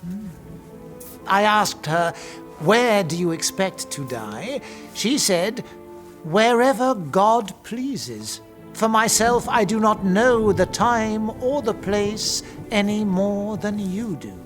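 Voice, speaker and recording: A man's voice: high-pitched, takes it slowly, soft background music. A man playing a shrewd, thoughtful medieval archbishop in an audio drama, speaking formally and probingly. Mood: pensive, grave. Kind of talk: historical audio drama acting.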